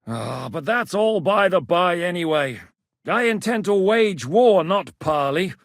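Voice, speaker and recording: A battle-hardened rebel commander, lively, animated delivery, game voice work. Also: male voice, high-pitched, unhurried, no echo, clean studio sound. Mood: plain. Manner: commanding, blunt, bellows battle orders. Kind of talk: game voice acting.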